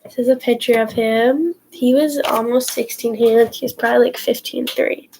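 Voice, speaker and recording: A woman's voice: on the high side, fairly even intonation, moderate pace, vocal fry, darkish tone, a little hiss or hum, poor audio. A teenage girl with a stuffy nose from a cold, telling a story to her viewers in a casual, rambling way, full of fillers and restarts. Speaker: horse vlogger. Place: at home, indoors.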